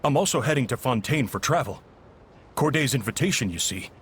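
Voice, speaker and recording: A man's voice: mid-range voice, expressive, fairly quick, fairly bright tone, natural voice. A flustered male ship owner, voiced by a man, in a video game. He stammers and hesitates, his voice jumping around. A faint hiss lies beneath.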